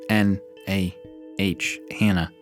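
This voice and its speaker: A man's voice: deep voice, steady tone, slow, soft background music. A man voicing an obsessive, unhinged man who pleads and spells things out in a half whisper for an audio drama. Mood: eerie, obsessive.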